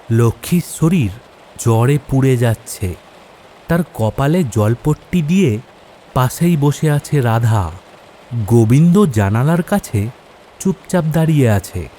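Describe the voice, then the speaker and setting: A man's voice: mid-range voice, warm tone, slight background noise. A man giving scripted, dramatic narration for a horror story.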